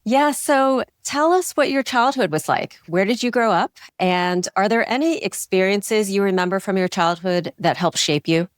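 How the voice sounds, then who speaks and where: A woman hosting a podcast, reading an introduction and asking questions in a measured way. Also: mid-range voice, clean tone, dry recording, studio quality. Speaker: podcast host. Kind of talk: interview hosting.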